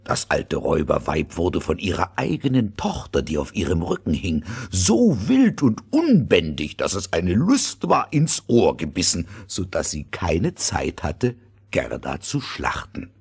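Low-pitched male fairy-tale narrator. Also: wildly swooping pitch, measured pace, vocal fry, darkish tone, husky.

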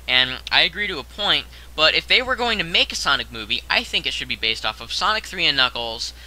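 A man's voice: on the high side, bright, forceful tone, low-quality recording. A young man narrating a scripted top-ten countdown as host, in a conversational way that addresses the viewer directly.

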